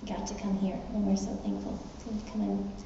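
A woman speaks informally as a church visitor, a little hesitant. Her very dark, soft-edged voice is flat in pitch and heard from afar in a very echoey hall. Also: normal speed, vocal fry. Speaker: visiting church team member. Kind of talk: informal thank-you talk. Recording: echoey hall, distant, dull, faint noise.

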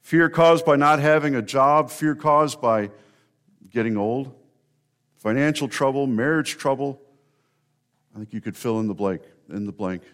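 A male pastor delivering a sermon to his congregation. His voice sits at an ordinary middle pitch, and he speaks earnestly, building up emphatic repetitions to drive a point home. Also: a little slow, long pauses, fairly bright tone.

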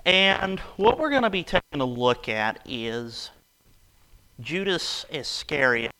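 A man preaching a casual, conversational sermon, his voice raspy and hoarse from a cold. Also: a little high, big pitch swings, takes it slowly, pushed and bright, low-quality recording.

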